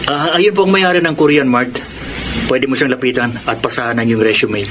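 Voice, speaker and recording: A man's voice: medium pitch, brisk, noisy background, natural voice. A man acting a polite, helpful store worker in a radio drama, deferential and businesslike, with a Filipino accent. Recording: clattering background shop ambience.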